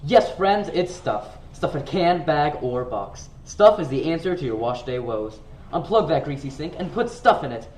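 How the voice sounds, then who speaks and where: A man's voice: on the high side, very animated, normal speed, darkish tone. A teenage boy playing an old-time radio announcer in a scripted amateur radio play. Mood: hearty, selling. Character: old-time radio announcer, hearty pitchman and mystery narrator. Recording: roomy, distant, clear background noise.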